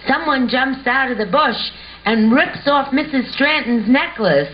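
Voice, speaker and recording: A woman's voice: high-pitched, lively intonation, unhurried. A woman reading scripted narration and stage directions as the narrator of an amateur show. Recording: muffled home recording with hiss.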